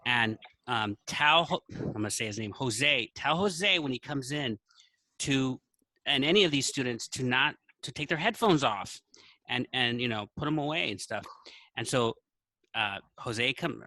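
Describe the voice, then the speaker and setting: A man's voice: mid-range voice, bright, forceful tone, no room sound. A man telling an anecdote in a casual podcast style, acting out the dialogue as he goes. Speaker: podcast guest, teacher. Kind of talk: casual storytelling.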